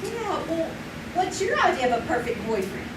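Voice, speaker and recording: A woman's voice: very high voice, big pitch swings, moderate pace. A woman playing a dreamy, giggly young woman in a live old-time radio drama. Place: small theater stage, live. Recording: echoey stage from far off, noisy.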